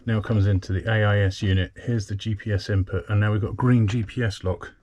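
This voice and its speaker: A man's voice: fairly low pitch, fairly even intonation, a little fast, darkish tone, lo-fi sound. A man talking casually to camera as a sailing vlogger, talking through each step of a hands-on job as he works. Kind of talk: casual narrated hands-on demonstration. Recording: clear background noise with clicks.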